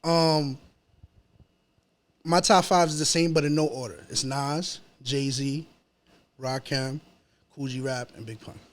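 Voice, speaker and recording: A man with a New York accent chatting casually as an interview guest, slangy and pausing as he goes. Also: medium pitch, steady tone. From New York City, United States.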